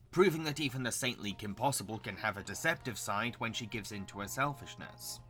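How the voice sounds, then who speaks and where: A man's voice: medium pitch, fairly bright tone. A young man giving enthusiastic scripted review narration with casual asides, as a video host.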